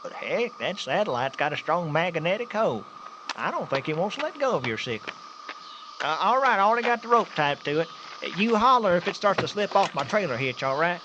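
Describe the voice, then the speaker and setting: High, animated Southern country bumpkin. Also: male voice, brisk, muffled recording, background noise. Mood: reluctant, resigned. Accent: rural American South. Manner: folksy, stammering, rambling. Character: excitable, teasing country bumpkin man with a pickup truck. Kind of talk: comic radio drama acting.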